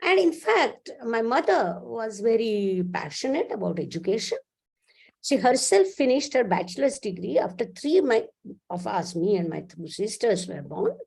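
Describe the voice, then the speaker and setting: Older woman from India, seventies, physicist at a lectern, mellow tone with a very animated pitch, explanatory and self-correcting. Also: moderate pace, creaky, breathy edge, low-quality recording. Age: 70s. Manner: lively, explanatory, restarts sentences. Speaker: physicist giving a lecture. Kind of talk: academic talk with slides.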